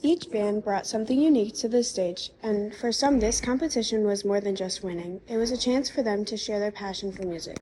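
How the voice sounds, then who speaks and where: A woman's voice: mid-range voice, fairly quick, hardly any pauses, warm tone, slight background noise, low-quality recording. Teenage girl reading student news. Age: teens. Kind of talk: scripted news narration.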